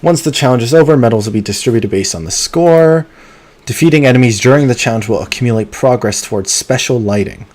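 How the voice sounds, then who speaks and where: A man streaming a video game live, chatting casually and muttering to himself between bursts of excitement. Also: medium pitch, fast. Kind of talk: live gameplay commentary.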